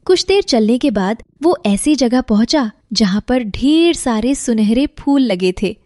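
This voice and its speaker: A woman's voice: on the high side, expressive, unhurried, a little mellow, no room sound. A woman reading scripted narration for a cartoon moral story as its narrator.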